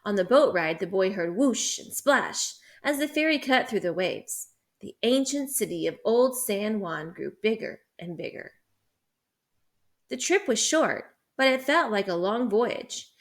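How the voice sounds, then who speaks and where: A woman, a school teacher, reading a children's picture book aloud with expressive, animated storytelling. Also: medium pitch, a little slow.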